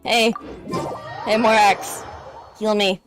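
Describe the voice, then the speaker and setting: A woman's voice: mid-range voice, flat delivery, slow pace. A woman giving casual gameplay commentary, talking informally as if to herself. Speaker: gamer, streamer. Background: game music.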